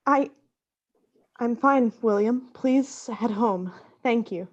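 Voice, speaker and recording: An obsessive professor who dabbles in dark arts, in a radio drama. Her voice is fairly high, her diction formal and earnest, on a slightly dull recording. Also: slow. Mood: guarded. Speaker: voice actor. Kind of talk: radio play acting.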